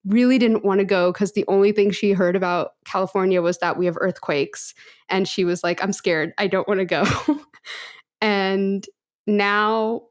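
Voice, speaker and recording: A woman's voice: medium pitch, fairly even intonation, normal speed. A woman co-hosting a podcast in casual chat, rambling and laughing often. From United States. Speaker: podcast co-host.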